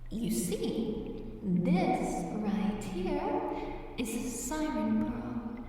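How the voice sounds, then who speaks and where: A woman's voice: a little high, warm tone, slight background noise. A woman playing a predatory, possessive dungeon boss monster in an ASMR roleplay, speaking in teasing, condescending, formal old-fashioned diction with a cave echo effect. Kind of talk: ASMR audio roleplay, theatrical archaic speech. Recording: echoing cave reverb, distant, faint music.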